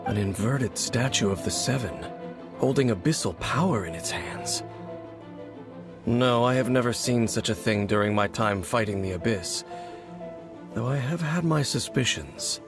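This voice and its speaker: A man voicing a serious, reserved, mysterious swordsman in a video game, speaking in a measured, formal, stoic manner. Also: fairly low pitch, animated. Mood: grave, composed. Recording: clear music behind. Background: game music.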